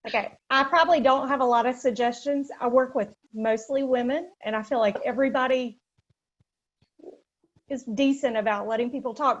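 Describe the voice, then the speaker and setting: A woman panelist on a video call, modest and practical in her advice. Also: high-pitched. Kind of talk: casual chat on a video call. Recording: dull video call audio.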